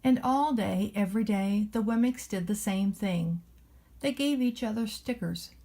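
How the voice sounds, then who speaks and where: A woman with a soft-edged, mellow tone and middle pitch reads a children's story aloud. She narrates in a measured, expressive way, as a nanny would to a little one. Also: vocal fry. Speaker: storybook reader playing a nanny.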